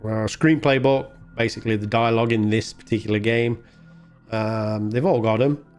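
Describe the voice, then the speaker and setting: A man's voice: lowish voice, fairly even intonation, moderate pace, a little mellow. A man giving casual, colloquial, self-deprecating commentary while playing a video game. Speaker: gaming video host. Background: video game music.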